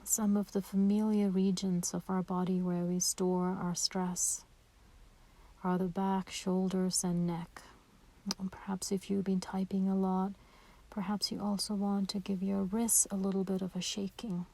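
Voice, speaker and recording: Soft, mellow woman guiding meditation. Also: medium pitch, level tone, vocal fry. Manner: gentle, slow and soothing, with pauses. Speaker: meditation guide. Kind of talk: guided meditation instruction.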